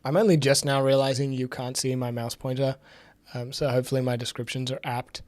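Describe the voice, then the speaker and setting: A young man with a slightly raspy voice, recovering from illness, hosting a casual live tech stream from a home office. He thinks aloud with many fillers and drops in dry, sarcastic asides. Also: mid-range voice, flat delivery, brisk, a little mellow. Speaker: livestream host. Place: home office with bookshelves, indoors.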